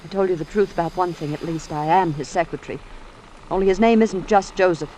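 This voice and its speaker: A woman's voice: lowish voice, brisk, mellow, close to an everyday voice, low-quality recording. An American woman acting an anxious, ambitious secretary in an old-time radio suspense drama, with an earnest, melodramatic delivery. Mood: confiding. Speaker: actress playing the secretary. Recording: vintage radio drama, faint background noise.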